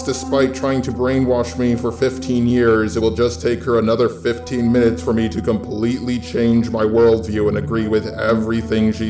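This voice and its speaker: An American man from California delivering a scripted opinion monologue in a blunt, sweary, sardonic way as a YouTube host. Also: medium pitch. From California, United States. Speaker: YouTube host with a robot avatar. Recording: roomy echo, faint music bed.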